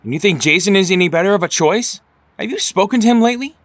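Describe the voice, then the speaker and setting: A man's voice: high-pitched, expressive, quick pace, fairly bright tone, dry recording. A man playing an earnest, defiant young superhero vigilante who justifies himself, in an audio drama. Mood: earnest, defensive.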